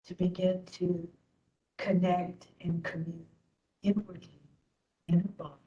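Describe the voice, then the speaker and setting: A woman leading a guided meditation as instructor, speaking gently in short phrases with long pauses. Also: lowish voice, flat delivery, lo-fi sound.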